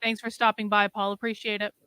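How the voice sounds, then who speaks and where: A woman's voice: mid-range voice, flat delivery, fast, sharp tone, clean tone, no room sound. A woman hosting a livestream, giving blunt, sarcastic commentary. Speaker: host.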